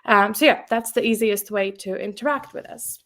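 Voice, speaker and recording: A woman's voice: medium pitch, normal speed, fairly bright tone. A woman hosting a webinar as a content marketing specialist, talking in a casual, friendly way with lots of fillers. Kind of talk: presenting a webinar.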